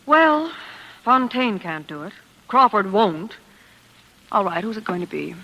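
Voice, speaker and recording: An actress playing a jealous, vain, dramatic wife in a theatrical, self-pitying 1940s radio style. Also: female voice, mid-range voice, big pitch swings, a little slow, pushed and bright, exaggerated voice, rough recording. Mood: jealous, bitter. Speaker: lead actress and first-person narrator. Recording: old 1940s radio recording with music.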